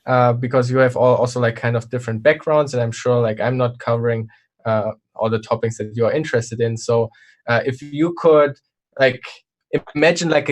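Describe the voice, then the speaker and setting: Guest, man, mid pitch, hesitant, thoughtful, fills pauses with "like". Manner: hesitant, many fillers, thoughtful. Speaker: guest, video maker. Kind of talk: casual chat.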